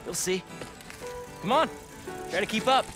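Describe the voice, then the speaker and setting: A man's voice: high-pitched, lively intonation, measured pace. A man playing an upbeat young American in a video game, a loyal old friend who speaks casually and naturally. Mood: playful, eager. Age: young adult. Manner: casual, conversational. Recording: music behind dialogue.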